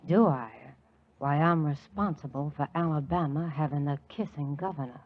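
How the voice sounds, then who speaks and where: A brassy flirting coed. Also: female voice, low voice, moderate pace. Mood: sultry. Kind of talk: 1940s radio comedy acting. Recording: tinny 1940s radio recording.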